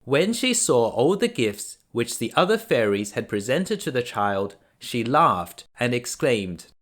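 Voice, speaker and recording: A man reading a children's bedtime story as a dad storyteller, expressive and deliberate. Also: mid-range voice. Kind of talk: scripted bedtime story narration.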